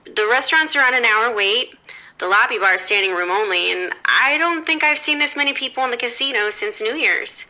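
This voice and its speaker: A woman's voice: a little high, expressive, a little fast, bright, forceful tone, low-quality recording. A woman playing an upbeat, unruffled casino floor manager in an audio drama, chatting in a matter-of-fact, reassuring way. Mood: upbeat, busy. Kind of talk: scripted horror audio drama radio exchange.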